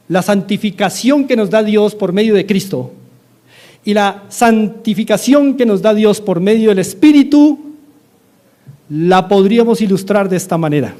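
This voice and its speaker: A man's voice: sits high, a little slow, dark tone. A middle-aged man with a Colombian accent, preaching a sermon as a pastor, earnestly repeating his exhortations. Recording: studio-like radio sermon.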